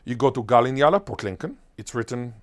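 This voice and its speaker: A man, a Hebrew speaker, answering as a linguist guest in an interview, emphatic and animated, full of lists and rhetorical questions. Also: mid-range voice, fast. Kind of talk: enthusiastic explanatory interview answer.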